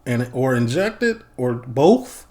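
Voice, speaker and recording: A man hosting a reaction video, talking in animated, slang-heavy remarks. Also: medium pitch, slow. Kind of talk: casual reaction commentary.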